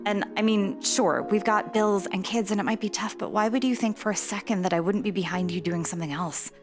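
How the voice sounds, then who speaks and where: A woman's voice: medium pitch, fairly quick, close to an everyday voice. A woman playing a supportive, perceptive wife in a comic dub, speaking with earnest reassurance. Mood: reassuring, earnest. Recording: music behind the voice. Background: music.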